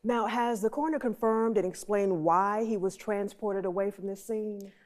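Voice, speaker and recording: A woman's voice: mid-range voice, normal speed, a little mellow. A woman presenting a scripted TV newscast as anchor. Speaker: TV news anchor.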